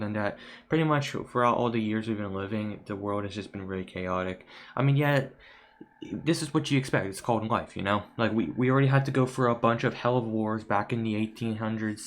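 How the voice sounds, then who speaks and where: A young American man with a fairly low voice talks informally to his viewers. His delivery is rambling and offhand, like casual video commentary. The home recording has some noise behind the voice. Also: a bit bright. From United States. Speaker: video creator. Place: room at home.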